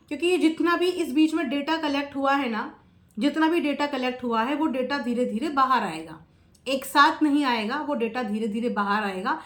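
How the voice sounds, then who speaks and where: A woman's voice: high-pitched, fairly bright tone. A woman giving opinionated, casual commentary to viewers, emphatic, repeating phrases and asking rhetorical questions. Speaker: reaction video creator. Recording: echoey, roomy sound.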